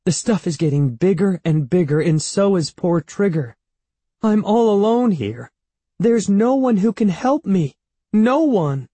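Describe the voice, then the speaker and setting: A man's voice: on the low side, slow, darkish tone, slightly rough, no room sound. A man voicing an anxious, impatient young boy with a snappy, wailing manner in a children's storybook. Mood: wailing, desperate. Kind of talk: children's audiobook character voice.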